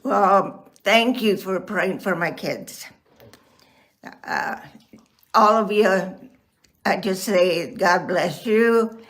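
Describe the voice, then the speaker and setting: A woman of ninety giving halting, heartfelt blessings in a casual family chat. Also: medium pitch. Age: 90s. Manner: slow, halting, warm blessings. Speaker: guest.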